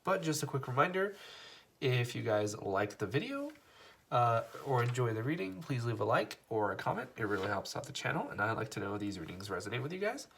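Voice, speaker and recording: A young man with a cold, giving a relaxed tarot reading straight to his viewers, with plenty of fillers. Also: mid-range voice, a little fast, vocal fry. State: fighting off a cold. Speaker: tarot reader. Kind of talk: casual tarot reading to camera.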